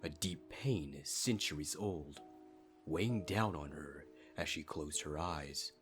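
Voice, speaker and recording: Very deep-voiced male story narrator. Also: slow pace. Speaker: fan fiction narrator. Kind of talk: dramatic scripted story narration. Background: faint music.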